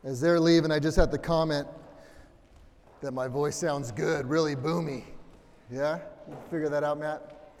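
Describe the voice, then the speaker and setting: A man's voice: fairly even intonation, moderate pace, pauses often, darkish tone. A middle-aged American man giving a conversational sermon with personal anecdotes and an informal, self-deprecating manner. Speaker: preacher. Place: large indoor church room, amplified. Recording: boomy echoing hall, long reverb.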